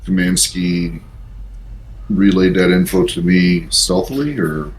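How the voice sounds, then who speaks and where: A man's voice: sits low, slow, a little hiss or hum, low-quality recording. A man chatting casually as a player in a tabletop roleplaying game.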